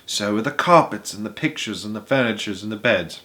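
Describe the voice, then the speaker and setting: A man voicing an old, excitable grandfather who tells tales with dramatic, emphatic delivery in a storybook reading. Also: fairly low pitch. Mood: awed, excited. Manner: emphatic, dramatic, savouring pauses.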